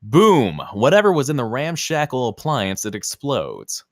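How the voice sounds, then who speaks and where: A man's voice: medium pitch, wildly swooping pitch, measured pace, dry recording, clean studio sound. A man narrating screenplay stage directions at a table read in a dramatic, punchy way.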